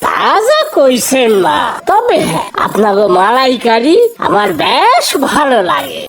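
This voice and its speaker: A woman's voice: high voice, wildly swooping pitch, unhurried, gravelly, noisy background, full character voice. A woman voicing a good-natured young son-in-law in a cartoon, placating, with an East Bengali accent. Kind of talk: cartoon family comedy acting. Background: music.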